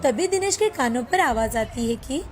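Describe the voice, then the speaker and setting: A woman's voice: high-pitched, animated, moderate pace, soft background music. A woman narrating a scripted moral story as the storyteller.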